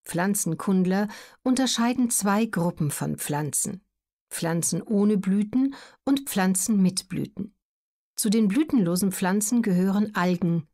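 A woman reading scripted educational narration in a measured, neutral, carefully articulated way. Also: fairly low pitch, warm tone. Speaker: audiobook narrator.

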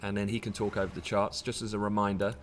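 British guy in his 30s, lowish voice, talking viewers through a market preview from an office webcam, some noise behind. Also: level tone, quick pace. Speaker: head of market analysis, presenter. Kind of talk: live market briefing, advisory commentary. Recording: background noise.

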